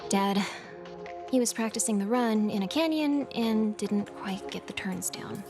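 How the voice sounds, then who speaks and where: A woman's voice: medium pitch, unhurried, over music, natural voice. An actress voicing a young hacker revolutionary in a video game cutscene, confiding and dryly sardonic, with swearing in her speech.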